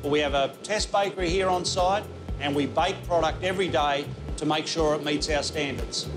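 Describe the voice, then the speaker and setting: A middle-aged Australian man, a mill manager, answering interview questions in a measured, explanatory way inside a flour mill control room. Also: a little high, music in the background.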